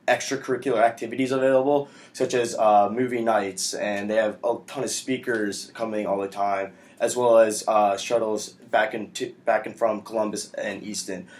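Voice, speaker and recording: A young American man giving a prepared classroom presentation in a halting, hesitant way with frequent ums. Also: on the low side. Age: young adult. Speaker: student speaker. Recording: echoey room.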